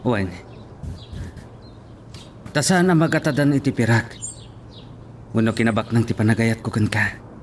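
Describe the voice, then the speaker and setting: A man's voice: mid-range voice, unhurried, pauses often, slight background noise, lightly acted. A man playing a poor, devoted young sweetheart in a romantic audio drama, speaking earnestly and sentimentally. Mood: wounded, earnest.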